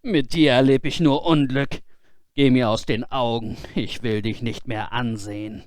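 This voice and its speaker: A man's voice: mid-range voice, fairly even intonation, a little slow, dry recording, natural voice. A man voicing a stern, exasperated father in a fairy tale, scolding and curt. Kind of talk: fairy-tale storybook character voice.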